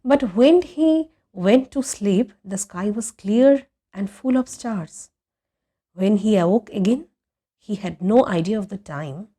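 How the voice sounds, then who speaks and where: Slow, expressive woman storyteller. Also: mid-range voice. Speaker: audiobook reader. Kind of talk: expressive scripted story narration.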